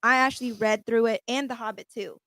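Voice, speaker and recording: A woman's voice: on the high side, fairly even intonation, a little fast, fairly bright tone. A woman chatting on a radio panel, telling stories in an emphatic, talkative way. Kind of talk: casual radio chat.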